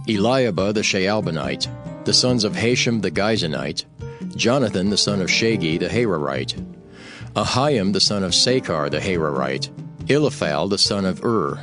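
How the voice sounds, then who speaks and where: A man with a fairly low voice reads scripture as a narrator. His delivery is formal and measured, and music plays clearly behind him. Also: lively intonation. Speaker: Bible narrator. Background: background music.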